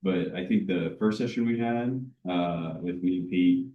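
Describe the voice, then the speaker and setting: A man's voice: sits low, a little slow, narrow, tinny audio. A man running the event tech, chatting in an easygoing way before a workshop. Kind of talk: casual chat. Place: meeting room or classroom. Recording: roomy echo, mic far off.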